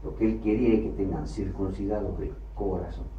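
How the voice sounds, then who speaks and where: A man preaching a sermon, emphatic, with loud bursts that drop low again. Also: a little fast, muffled recording. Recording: echoey hall, from far off, faint ambience.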